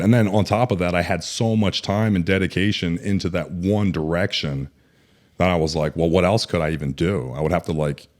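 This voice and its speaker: Deep-voiced man telling a candid story. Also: a little mellow. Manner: candid, rambling with many likes and restarts. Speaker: bodybuilder guest. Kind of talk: casual podcast storytelling.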